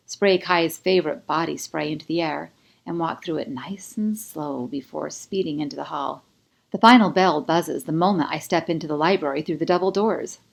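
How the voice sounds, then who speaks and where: Female audiobook narrator, lower-pitched, first-person fiction read with expressive, confiding delivery. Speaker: author narrating own audiobook.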